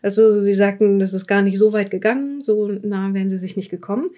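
A woman's voice: medium pitch, steady tone, dark tone, natural voice. A woman playing a composed official who questions a witness methodically from case notes, in an improvised radio play. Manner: methodical, inquiring, measured. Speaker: game partner in an audio-drama let's play.

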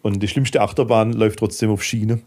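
A middle-aged man with a fairly low voice speaks as a guest in a casual podcast interview. He is candid and colloquial, happy to expand on his answers in everyday language. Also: fairly even intonation, brisk, darkish tone. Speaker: guest, filmmaker and managing director.

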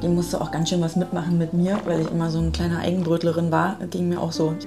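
A woman's voice: lowish voice, flat delivery, quick pace, over music. A middle-aged woman, hosting a homemade audio piece and casually telling personal stories. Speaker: host of a private homemade audio play.

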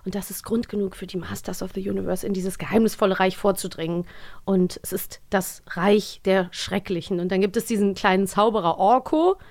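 A woman's voice: medium pitch, steady tone, a little fast, a little mellow. A woman co-hosting a podcast, chatting casually and with a giggly manner about audio dramas. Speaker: podcast co-host.

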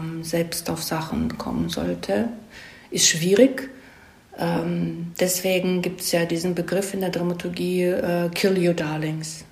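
A woman's voice: lowish voice, darkish tone. Woman explaining casually in an echoey room. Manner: explains with frequent check-in tags. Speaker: screenwriter being interviewed about storytelling craft. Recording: roomy echo around the voice.

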